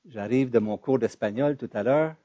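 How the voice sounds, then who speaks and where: A man from Ontario, moderating an academic discussion in an emphatic, animated way. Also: mid-range voice, normal speed, no echo, dull audio. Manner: emphatic, animated, rising loud on key points.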